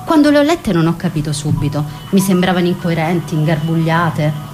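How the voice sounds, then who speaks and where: Woman acting a wistful, low-voiced young storyteller. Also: brisk, darkish tone, soft background music, natural voice. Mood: reflective. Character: young woman granddaughter, wistful, longing, passionate narrator. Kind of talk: scripted radio drama monologue and letter reading.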